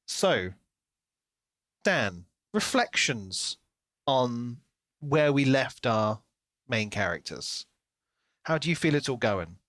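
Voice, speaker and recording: Male podcast co-host, medium pitch, informal and chatty, thinking aloud, recorded close and dry. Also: sing-song, takes it slowly, long pauses, fairly bright tone, no room sound. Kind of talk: casual book discussion.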